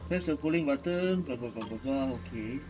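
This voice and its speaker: A man's voice: medium pitch, animated, a little fast, background noise. A man giving casual reaction commentary as a gaming streamer, with game music behind him.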